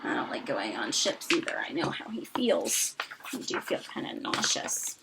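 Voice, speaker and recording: A woman's voice: extremely low pitch, big pitch swings, moderate pace, creaky, heavy background noise. A woman reading a rhyming children's story aloud expressively and dramatically, with chatty asides.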